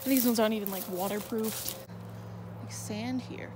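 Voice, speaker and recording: A woman's voice: a little high, fairly even intonation, normal speed. A young woman vlogging on a night walk through a marshy wood, talking hesitantly with many fillers into a handheld phone. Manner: rambling, hesitant, lots of fillers. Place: outdoors in a dark wooded marsh at night. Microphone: phone held in hand. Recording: noisy outdoor phone recording.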